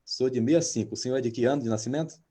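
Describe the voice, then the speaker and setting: A man in his fifties, a pastor hosting an interview, talking hesitantly with many drawn-out filler sounds. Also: medium pitch, dark tone. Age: 50s. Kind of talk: interview, reading a guest introduction.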